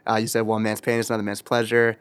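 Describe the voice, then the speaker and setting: A man hosting a music podcast, asking quick, enthusiastic questions in a casual chat. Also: fairly low pitch, completely flat delivery, clear, resonant tone. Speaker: podcast host.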